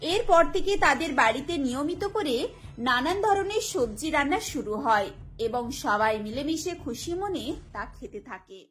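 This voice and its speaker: A woman's voice: sits high, lively intonation, measured pace, fairly bright tone. A woman narrating a scripted cartoon family story.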